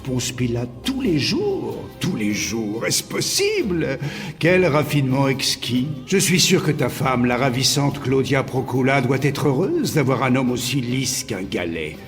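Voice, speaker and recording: An actor playing a decadent king in a French audio drama, gushing with hypocritical, mocking flattery. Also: medium pitch. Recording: long hall reverb, music underneath.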